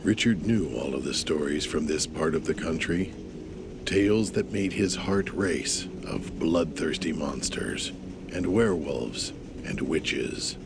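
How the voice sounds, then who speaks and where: Deep, gravelly male storyteller. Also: lively intonation, measured pace, noisy background. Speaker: narrator. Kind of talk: scripted audio drama narration.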